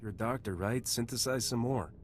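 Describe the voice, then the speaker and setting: A man's voice: medium pitch, fairly quick, a little hiss or hum, lightly acted. An actor playing a terse, tough male mercenary hero in a video game. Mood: menacing, terse.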